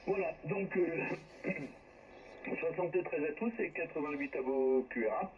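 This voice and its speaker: A man's voice: fairly high pitch, takes it slowly. A man talks casually over amateur radio, hesitating and repeating himself as he goes. The transmission is dull and band-limited, with a faint layer of noise. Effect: shortwave radio transmission. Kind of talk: casual ham radio chat. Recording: dull, lightly noisy radio link. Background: faint radio static.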